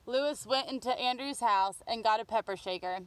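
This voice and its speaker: A woman's voice: on the high side, brisk, clean tone, no room sound, low-quality recording. A woman reading a picture book aloud expressively for young children. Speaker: children's storybook reader.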